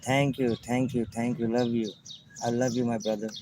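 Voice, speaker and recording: A man from Pakistan with a Pakistani accent, chatting in simple, halting English, repeating friendly phrases and greetings. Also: medium pitch, little pitch movement, noisy background. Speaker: vlogger chatting with strangers. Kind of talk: casual friendly chat.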